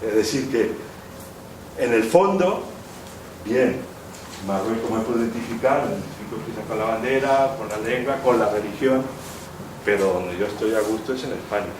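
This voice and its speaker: A man's voice: fairly low pitch, normal speed. Man lecturing in an echoey hall. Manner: discursive, rephrases often, many "eh" fillers. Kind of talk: academic lecture. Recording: echoey hall, distant mic, background noise.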